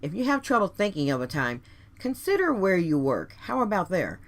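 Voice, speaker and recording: A woman hosting a radio show, delivering a scripted motivational monologue straight to listeners in deliberate, emphatic phrases with rhetorical questions. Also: lowish voice, wildly swooping pitch.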